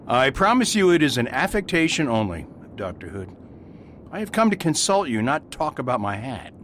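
A man playing a calm, courteous priest detective who speaks in a measured, polite and persistent way, in an amateur radio drama. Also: mid-range voice. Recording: noisy recording with steady hiss.

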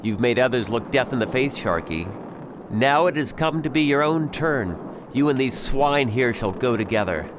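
An actor playing a bereaved husband turned vengeful pirate in a radio drama, speaking in deliberate, menacing speeches. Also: male voice, medium pitch, animated, background noise, close to an everyday voice.

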